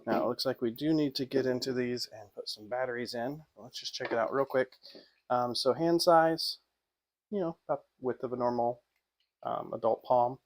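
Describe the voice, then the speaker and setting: A man's voice: mid-range voice, normal speed. A man giving a casual hands-on unboxing review in an informal, off-the-cuff way. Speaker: product reviewer.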